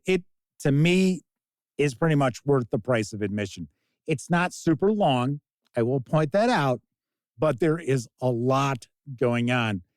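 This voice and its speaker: Man, casual game reviewer, very measured pace, opinionated conversational delivery, dry studio sound. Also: on the high side, darkish tone, dry recording. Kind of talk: casual spoken product review.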